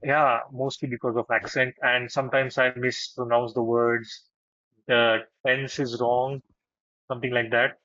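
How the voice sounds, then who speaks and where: A man's voice: mid-range voice, flat delivery, measured pace, pushed and bright, dry recording. Marathi-speaking man, talkative and opinionated, dry muffled call audio; casual call chat.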